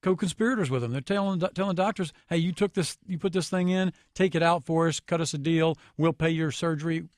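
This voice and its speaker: A man's voice: a little high, a little fast, hardly any pauses, no echo, clean studio sound. An American man giving critical, explanatory commentary as a guest on a radio talk show.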